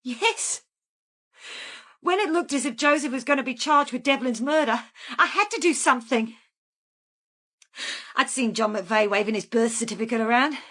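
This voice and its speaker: A calculating murderess in a radio crime drama. Her voice sits fairly high, and she recounts what she did in a plain, unrepentant manner, as if it were everyday business. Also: normal speed, pauses often, pushed and bright. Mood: defiant, self-justifying. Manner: matter-of-fact, unrepentant. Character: calculating female killer calmly confessing in a police interview. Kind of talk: naturalistic radio drama acting.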